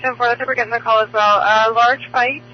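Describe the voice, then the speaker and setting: High-pitched male police dispatcher over noisy radio. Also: flat delivery, fast talker. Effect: police two-way radio. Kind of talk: terse procedural radio dispatch. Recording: noisy muffled police radio with vehicle hum.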